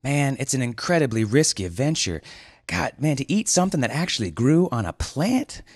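A man voicing an excitable male office worker who talks in loud, eager surfer slang. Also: medium pitch, moderate pace, no room sound, studio quality, voice pushed up. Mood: alarmed.

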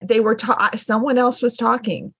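Husky, creaky middle-aged woman. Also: on the high side, fairly even intonation, normal speed, a bit bright, no echo. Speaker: interviewer, homeschooling mother. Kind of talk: casual conversational interview.

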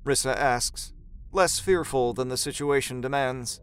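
A man's voice: medium pitch, measured pace, clear, resonant tone. A man narrating a scripted horror story in a dramatic storytelling style.

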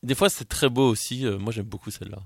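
A man's voice: mid-range voice, fast talker, creaky, a little mellow, no room sound, studio quality. A man chatting casually about music as a radio show host.

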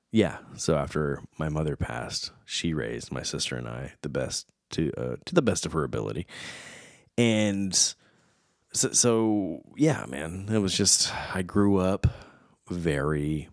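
Casual male talker, very low voice, unhurried delivery, dry close sound, reflective and rambling. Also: expressive, no room sound. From United States. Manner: rambling, reflective, many fillers and restarts. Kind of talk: casual chat.

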